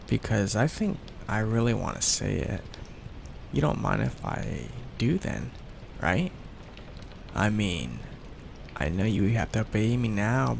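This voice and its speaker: Male actor for young mafia heir, ASMR romance: doting, possessive, unhurried, with a lively pitch swing. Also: gravelly, a little hiss or hum, exaggerated voice. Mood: hesitant, coaxing. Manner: tender, coaxing, hesitant, asks for reassurance. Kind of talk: intimate ASMR boyfriend roleplay.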